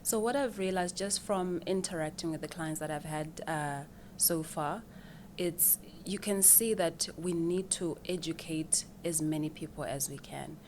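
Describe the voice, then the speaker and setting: A woman's voice: low voice, a little slow, a little mellow, a little hiss or hum. A woman from Zimbabwe, a financial planner answering interview questions in an explanatory way, often repeating words as she thinks. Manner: explanatory, with frequent repeated words and small laughs.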